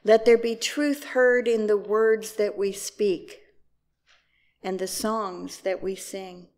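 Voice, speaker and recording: Slow, creaky-voiced woman reading liturgy. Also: medium pitch, fairly even intonation, a little mellow. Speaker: worship associate.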